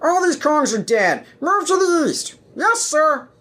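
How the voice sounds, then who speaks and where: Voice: sits high, big pitch swings, a little slow, a bit bright, voice pushed up, heavily acted. A performer voicing a bossy turtle soldier who gives curt military orders in a parody audio play. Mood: commanding.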